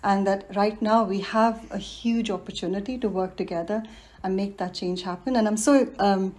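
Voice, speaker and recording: A woman's voice: medium pitch, fairly even intonation, normal speed. A woman in her forties from India, speaking reflectively in a podcast interview and laughing often. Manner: warm, reflective, laughs often, many fillers. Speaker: guest, environmental design professional.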